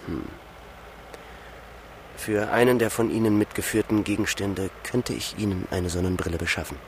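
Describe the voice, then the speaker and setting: An actor playing a stiff, fearful guard in a radio drama, speaking in a formal, pedantic manner. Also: male voice, lowish voice, fairly even intonation, moderate pace, a little hiss or hum, close to an everyday voice. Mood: officious.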